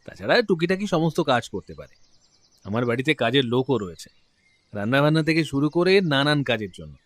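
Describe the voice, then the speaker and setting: An actor playing a genial, talkative middle-aged gentleman client in a detective audio drama. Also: mid-range voice, very animated, fairly quick, no room sound, lightly acted. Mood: impressed, genial. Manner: genial, talkative, open-hearted. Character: affable, chatty gentleman client, proud father.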